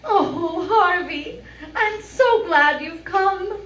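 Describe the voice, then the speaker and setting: A woman playing a frantic, frightened heroine in a live old-time radio drama, speaking in halting, stammering, melodramatic bursts. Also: very high-pitched, lively intonation, fairly bright tone, full character voice. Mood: distraught relief. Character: Geraldine, frightened, frantic woman trapped on an island. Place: live venue performance, picked up from a distance. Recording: distant, echoey room with faint noise.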